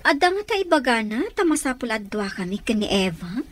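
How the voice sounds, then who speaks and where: A brisk Filipino lady of the house. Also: on the high side, very animated. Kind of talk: Ilocano radio drama acting.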